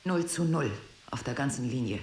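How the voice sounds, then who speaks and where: An actress playing a wounded, defiant, sardonic wife in an audio drama, with terse, dry delivery. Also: female voice, extremely low pitch, lively intonation, moderate pace, darkish tone, echoey room. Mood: bitter.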